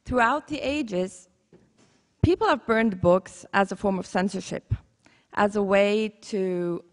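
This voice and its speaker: A woman from Iceland with an Icelandic accent, a teacher giving an earnest, conversational talk and correcting herself as she goes. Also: mid-range voice. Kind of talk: conference talk. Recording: long hall reverb.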